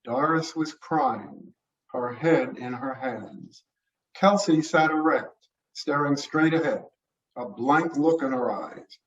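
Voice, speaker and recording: A man's voice: medium pitch, slow. An older man, an author, reading his own mystery short story aloud as narration. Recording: roomy, muffled, distant mic.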